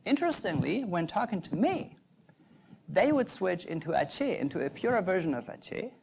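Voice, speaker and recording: A young adult man, a native German speaker, giving an earnest prepared talk in measured phrases. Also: sits high, big pitch swings, gravelly, background noise. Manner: earnest, measured, pauses between short phrases. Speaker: doctoral researcher giving a talk.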